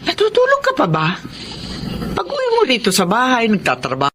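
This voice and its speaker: An actress with a Filipino accent playing a gently worried middle-aged mother in a radio drama. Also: fairly high pitch, wildly swooping pitch, a little fast, slightly rough, exaggerated voice.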